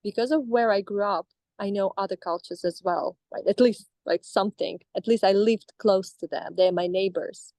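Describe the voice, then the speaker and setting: A woman's voice: medium pitch, moderate pace, darkish tone, dry recording. A young woman with a Russian accent, talking over a video call as a coaching client, hesitant with many fillers and restarts. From Astrakhan, Russia. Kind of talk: casual reflective talk.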